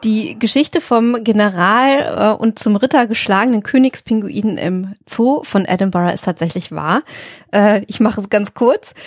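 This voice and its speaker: A woman with a fairly high voice talks casually as a podcast co-host. She explains in a halting way, pausing mid-sentence for words. The recording is dry and close. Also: no echo.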